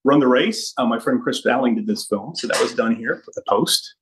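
A man in his fifties giving an enthusiastic, casual walking tour over a phone video call, speaking in a halting way. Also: medium pitch, a bit bright, slight background noise, poor audio. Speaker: podcast host giving a studio tour. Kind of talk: casual chat, walk-through tour. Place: indoors, walking through studio offices and hallways. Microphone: phone held at arm's length on video call.

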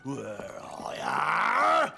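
An actor with an English accent playing an indignant, shouting cartoon taxi driver who is after an unpaid fare. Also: male voice, high voice, wildly swooping pitch, drawn-out words, vocal fry, heavily acted. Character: angry British male taxi driver chasing unpaid fare. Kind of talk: exaggerated cartoon acting. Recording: loud cartoon music underneath.

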